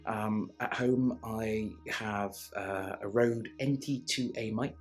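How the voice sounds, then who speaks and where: A middle-aged man gives a casual, chatty testimonial as a voice actor. His voice is low, he hesitates as he goes, and soft music plays underneath. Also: slow pace.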